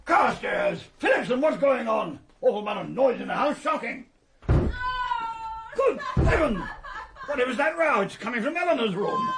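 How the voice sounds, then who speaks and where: An agitated older English country-house father in a radio mystery, blustering in a very high, creaky voice that leaps about. Faint noise sits behind him. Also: wildly swooping pitch, moderate pace, full character voice. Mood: alarmed. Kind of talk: 1920s murder mystery radio drama acting.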